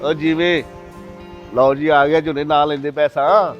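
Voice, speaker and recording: Excitable, proud Punjabi-accented Pakistani dad played by a man, slightly high voice, moral-story drama over light music. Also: normal speed, highs cut off, close to an everyday voice. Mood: proud, excited. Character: proud, hopeful father who turns furious.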